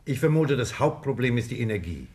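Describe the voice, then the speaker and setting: A man's voice: mid-range voice, sing-song, fairly quick, a little mellow. An actor playing a reflective, inquisitive man in a 1950s German radio drama, speaking in orderly, considered sentences. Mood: inquiring.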